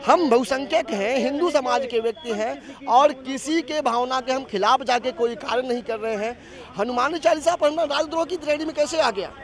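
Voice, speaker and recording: A man protester giving an insistent street interview outdoors, arguing through rhetorical questions with halting restarts. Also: very high-pitched. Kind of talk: vox-pop political argument.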